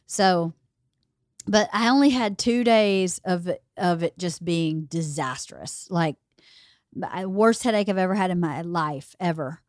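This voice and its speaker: Slightly hoarse woman podcast host, chatty. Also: lowish voice, measured pace, no echo, clean studio sound. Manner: chatty, rambling storyteller. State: slightly hoarse, losing her voice. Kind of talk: casual chat.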